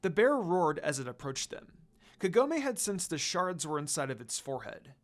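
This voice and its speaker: Woman narrator with very lively pitch. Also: moderate pace, soft background music. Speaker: fan fiction reader. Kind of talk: expressive storytelling narration.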